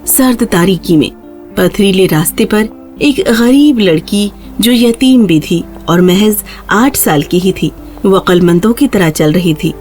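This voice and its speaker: A woman's voice: mid-range voice, a little mellow, faint music behind. A woman narrating a scripted fairy tale for children as the storyteller.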